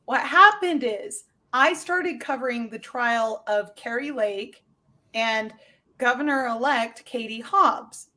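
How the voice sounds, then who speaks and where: A woman's voice: a little high, slow pace, pushed and bright. A woman livestreamer in casual, bantering conversation. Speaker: livestreamer on a group stream.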